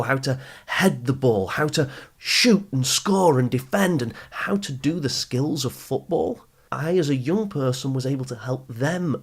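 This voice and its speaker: Husky-voiced man giving motivational advice. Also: fairly high pitch. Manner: emphatic, deliberate teaching delivery with stressed key phrases. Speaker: podcast host and youth coach. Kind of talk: motivational advice talk to teenagers.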